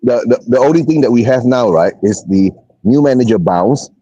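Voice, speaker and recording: A man's voice: medium pitch, expressive, moderate pace, dark tone, breathy edge, no echo, muffled recording. A man chatting about football on a live stream, hesitant and stammering, often tagging sentences with "right?". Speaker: panel guest. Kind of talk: casual football chat.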